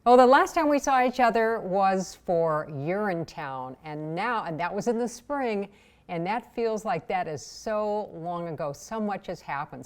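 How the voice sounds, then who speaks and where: A woman hosting an interview in a conversational broadcast style, introducing and questioning her guest. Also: medium pitch. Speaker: interview host.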